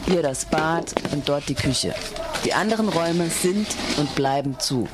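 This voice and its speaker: A man acting a stern, protective activist flatmate in an amateur radio play, with an insistent and brusque manner. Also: fairly high pitch, measured pace. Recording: noisy amateur recording with clicks.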